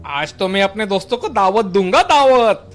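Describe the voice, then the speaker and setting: A man's voice: high voice, sing-song, moderate pace, soft background music. A man playing an opportunistic village butcher in a cartoon, obliging on the surface but greedy, with exaggerated delivery. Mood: gleeful.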